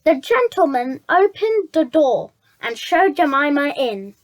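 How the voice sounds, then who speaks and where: A high-voiced reader reading a children's story aloud, stumbling over words and repeating phrases. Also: very animated, unhurried, poor audio.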